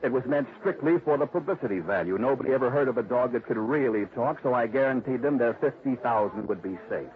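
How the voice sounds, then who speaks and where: A man's voice: mid-range voice, animated, close to an everyday voice. Guy doing a cool creepy ad man, old tinny radio drama. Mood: cool menace. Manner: slow, cool, menacing. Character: scheming male ad man, smooth and cold. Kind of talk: 1950s radio drama acting. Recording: tinny 1950s radio broadcast.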